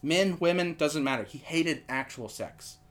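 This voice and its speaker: A man with a nasal voice hosting a casual comedy podcast, telling absurd stories deadpan with lots of fillers into a podcast mic. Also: on the high side, a little slow. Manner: rambling, deadpan absurd storytelling with many fillers. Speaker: podcast host. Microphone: podcast microphone.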